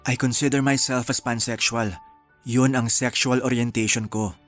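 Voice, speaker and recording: An actor playing an earnest, candid young boyfriend in a Filipino radio drama. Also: male voice, mid-range voice, level tone, moderate pace, a bit bright, lightly acted. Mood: earnest, loving. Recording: music under the dialogue.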